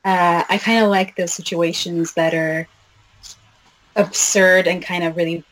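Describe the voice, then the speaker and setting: A young woman from Norway, a film director, answering interview questions in a relaxed, hesitant way with many "uh"s and "like"s. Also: on the low side, unhurried, rough recording.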